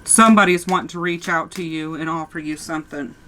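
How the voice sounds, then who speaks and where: A woman's voice: deep voice, steady tone, fast, fairly bright tone, a little hiss or hum, low-quality recording. A woman with a sore throat giving a casual tarot reading, talking straight to her viewers and often restarting her sentences. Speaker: tarot reader.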